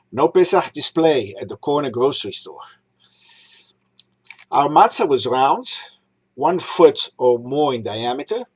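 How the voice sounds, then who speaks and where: Older man, 60s, from Egypt, measured reminiscing delivery, slightly husky, middle pitch. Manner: measured, reminiscing, lists items one by one. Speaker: rabbi giving a holiday talk. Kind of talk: prepared talk read aloud.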